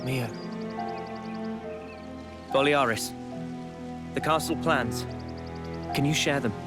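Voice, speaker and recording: An earnest young male leader in a game cutscene, played by a man. His voice is mid-pitched, calm and measured, with clear music playing behind it. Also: lightly acted. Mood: resolute. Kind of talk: video game cutscene acting.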